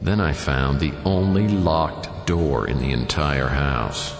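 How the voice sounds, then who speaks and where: A man's voice: a bass voice, takes it slowly, loud music behind the voice, full character voice. An actor playing a wisecracking hard-boiled male private detective, narrating with dry sarcasm. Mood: wry. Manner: dry, sardonic. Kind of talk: noir first-person radio narration. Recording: echoey with long reverb.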